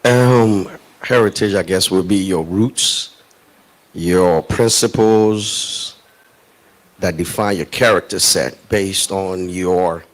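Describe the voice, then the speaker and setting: Deep-voiced middle-aged man on a panel. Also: slow pace, poor audio. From Ghana. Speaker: panel guest. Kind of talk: panel discussion. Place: event with live audience.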